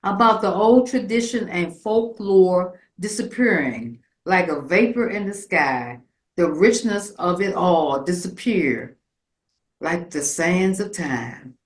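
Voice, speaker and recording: A woman recites a poetic monologue with lyrical, theatrical delivery. Her voice is slightly low and she moves slowly through each phrase, with the echo of a live room around her. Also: lively intonation. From rural Virginia, United States. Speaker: community performer reading own piece. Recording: roomy, echoing live space.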